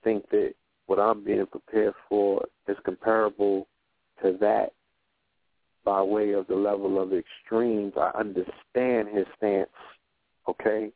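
Low flat-voiced man on a phone line. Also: a little slow, no room sound. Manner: reflective, repeats "you know what I'm saying". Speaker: radio show guest. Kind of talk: casual roundtable talk.

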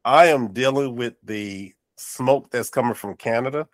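A mid-pitched man with a drawl hosts a chat podcast, his voice nasal and stuffed-up. He talks in an affirming, encouraging way, recorded dry and close to the mic. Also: measured pace, dry recording. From North Carolina. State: nasal and congested. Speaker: podcast host. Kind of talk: casual interview chat.

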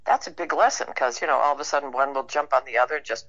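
A woman with a low, very bright and forceful voice chats as an interview guest. She is wry and anecdotal, feeling her way into each story, over dull lo-fi call audio. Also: racing. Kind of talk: casual conversational chat.

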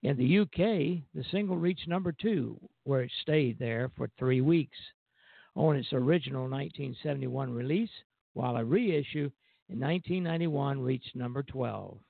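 A male radio host with a creaky, gravelly voice presents music history in measured phrases broken by pauses. The audio is very narrow and tinny, like a phone line, and quite dry with little room sound. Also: mid-range voice, no echo.

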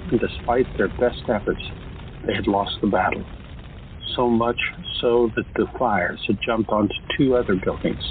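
A man narrating an audio drama in a steady, measured storytelling style. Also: mid-range voice, slight background noise. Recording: tinny phone-like audio, faint music.

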